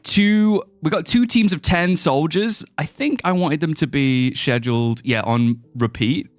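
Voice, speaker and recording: Goofy young streamer man, bouncy pitch. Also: a bit bright, dry recording. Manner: goofy, playful, silly mispronunciations and shifting voices. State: slightly sniffly, stuffy-nosed. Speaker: livestreamer. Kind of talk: casual stream chat, greeting chat and playing a game.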